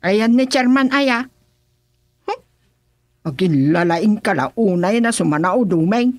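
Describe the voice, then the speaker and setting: A woman's voice: medium pitch, lively intonation, takes it slowly, a little mellow, no echo. An actress with an Ilocano Filipino accent playing a councilman's flustered wife, hesitant and whining in protest, in a comedy radio drama. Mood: complaining.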